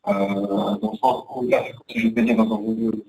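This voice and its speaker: A man's voice: high voice, very animated, normal speed, creaky, warm tone, distant mic, dull audio, faint music behind. A man giving a hesitant, unscripted account of his career as a panel guest, often repeating words as he searches for phrasing. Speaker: insurance network manager on a discussion panel. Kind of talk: hesitant spoken testimony about career.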